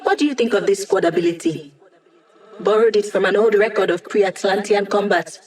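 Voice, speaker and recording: A woman's voice: medium pitch, normal speed, darkish tone, lightly acted. A woman voicing a wry, teasing young female hacker in a video game, giving dry mission dialogue over comms. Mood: upbeat, friendly.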